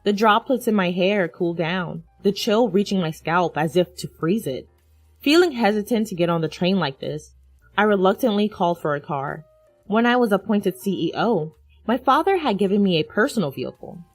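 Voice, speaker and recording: A woman's voice: mid-range voice, lively intonation, normal speed, dry recording, faint music behind. A woman reading a scripted first-person dramatic story as its narrator.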